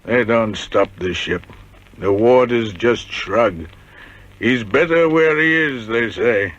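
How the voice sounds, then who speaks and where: An old convict in a radio drama, haunted and bitter. His cracked voice moves slowly through grim memories. The recording is muffled and lo-fi. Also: mid-range voice, a bit bright. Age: older. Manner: grim, bitterly ironic storytelling. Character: haunted old ex-convict recalling brutal prison horrors. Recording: dull, lo-fi vintage radio recording.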